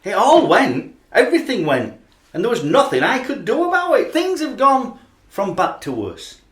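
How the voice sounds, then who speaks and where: A man's voice: high voice, wildly swooping pitch, normal speed. In a roomy, echoing space, a man plays an ageing Yorkshire rugby fan in a radio drama. He is obsessed and wounded, confessing his doubts in a voice that swings widely with feeling. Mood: anguished, regretful. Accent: Yorkshire English. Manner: anguished, confessional, searching. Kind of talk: radio play acting, emotional monologue and dialogue.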